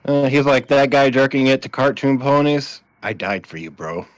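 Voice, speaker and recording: Brief: young man, lively teasing delivery, dry muffled lo-fi sound. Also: medium pitch, normal speed, no echo. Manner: teasing, joking. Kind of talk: casual chat. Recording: dull dry lo-fi mic.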